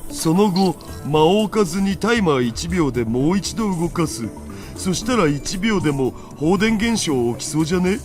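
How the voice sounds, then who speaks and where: A man's voice: fairly high pitch, animated, quick pace, faint music behind, close to an everyday voice. A man voicing a nerdy, laid-back young hacker with slangy, quirky casual speech in an anime visual novel. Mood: explaining.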